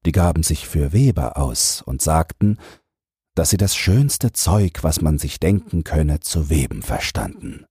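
A man's voice: extremely low pitch, very animated, measured pace, warm tone, husky, no echo, studio quality. A man narrating a fairy tale as a bedtime audiobook, reading a scripted text as a storyteller.

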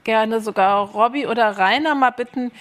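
A woman's voice: fairly high pitch, moderate pace, a bit bright. A woman moderating a panel presentation, asking guests about their work in an appreciative way. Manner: appreciative, asks guests open questions.

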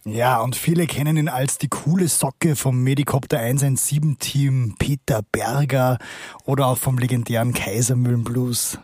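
A man's voice: mid-range voice, fairly even intonation, normal speed, a little mellow, breathy edge, no echo, clean studio sound. A man co-hosting a casual chat, opening the show and filling in background facts in a friendly way. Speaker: co-host.

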